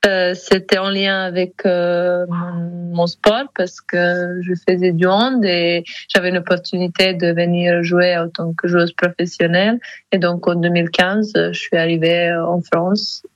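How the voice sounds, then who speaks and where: A woman's voice: lowish voice, little pitch movement, measured pace, no echo, dull audio. A woman in her thirties with a Montenegrin accent, a handball player answering radio interview questions with many fillers and restarts. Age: 30s.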